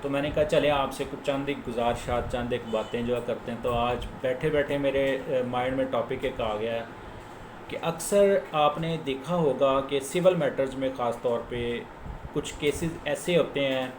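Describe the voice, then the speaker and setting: A man's voice: mid-range voice, moderate pace. Echoey Pakistani man explaining law. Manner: explanatory, repeats phrases, mixes in English legal terms. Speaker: lawyer giving legal explainers on own channel. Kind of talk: informal law lecture. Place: indoors, office. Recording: echoey room with clear background noise.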